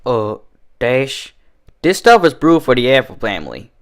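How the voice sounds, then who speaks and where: A man's voice: medium pitch, big pitch swings, unhurried. A man voicing a wary, stubborn female farm pony bartender with a folksy American country Southern drawl. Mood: cautioning.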